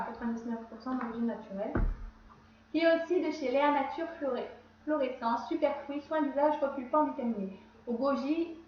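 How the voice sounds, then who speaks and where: A woman's voice: high voice, a little hiss or hum. A woman with a cold chatting casually through her skincare routine, rambling and often correcting herself. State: has a cold. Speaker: beauty vlogger. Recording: very echoey room, slightly dull sound.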